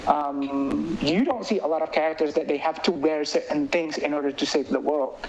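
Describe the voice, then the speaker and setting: A man from Indonesia with an Indonesian accent, chatting casually as an interviewed filmmaker in an animated, rambling way full of filler phrases. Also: on the high side, moderate pace, highs cut off, a little hiss or hum. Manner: animated, rambling, peppers talk with "like" and "you know". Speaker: filmmaker and writer being interviewed.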